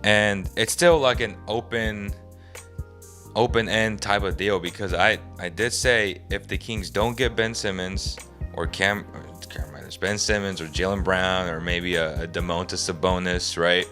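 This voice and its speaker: A young man with a very low, raspy voice, hoarse from a lingering cold, hosts a sports podcast. He talks in an emphatic, frustrated way, thinking out loud as he goes. Faint music plays softly behind him. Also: fairly even intonation, a little slow. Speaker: podcast host. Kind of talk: sports opinion commentary.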